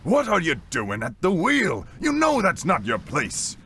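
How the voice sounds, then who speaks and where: A man's voice: on the high side, wildly swooping pitch, unhurried, bright, forceful tone, breathy edge, pitched above the performer's own voice, heavily acted. A man playing a furious, accusing first mate of a sailing ship, roaring his words. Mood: outraged.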